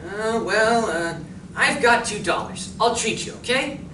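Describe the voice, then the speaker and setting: A woman's voice: on the high side, lively intonation, unhurried. A woman acting an honest, chatty young boy in a stage radio drama, with a folksy, hesitant manner. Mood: eager. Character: eager, honest, chatty schoolboy. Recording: echoey, distant, noisy live room.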